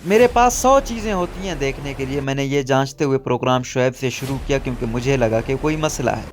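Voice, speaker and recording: A man with a Pakistani accent reads a scripted news report in a formal, measured manner. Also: medium pitch, gravelly, a little hiss or hum. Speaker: news narrator.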